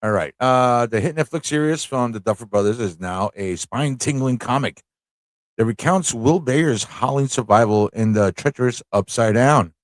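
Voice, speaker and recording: Male live stream host, mid-pitched, informal and easygoing, chatting and reading aloud on a close, dry mic. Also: normal speed, no echo.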